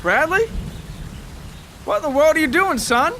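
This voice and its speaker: A man voicing a kindly, easygoing stepfather with a gentle, folksy way of talking in an audio story. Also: very high-pitched, expressive, measured pace, background noise, voice pushed up. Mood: concerned, kindly. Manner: gentle, folksy, hesitant asides.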